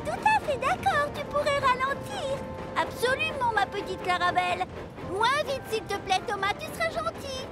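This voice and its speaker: A woman's voice: very high-pitched, lively intonation, moderate pace, over loud music. A woman voicing a prim, easily alarmed railway carriage who exclaims and complains in a children's cartoon. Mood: prim nagging. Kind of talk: children's cartoon dub acting.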